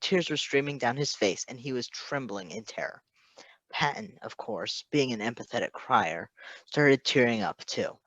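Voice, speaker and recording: A man reading a story aloud in an expressive scripted narration style. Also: medium pitch, normal speed, no room sound, lo-fi sound. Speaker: podfic reader.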